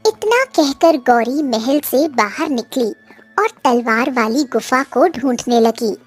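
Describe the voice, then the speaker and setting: A woman's voice: high voice, animated, slow pace, breathy edge, soft background music. A woman narrating a scripted children's story.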